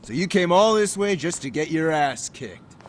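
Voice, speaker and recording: A man playing a cocky student gang boss in a game, taunting and sneering. His fairly high voice swings widely in pitch, with faint noise behind it. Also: animated, moderate pace, natural voice. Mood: menacing. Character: cocky swaggering male student gang leader. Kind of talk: video game voice acting.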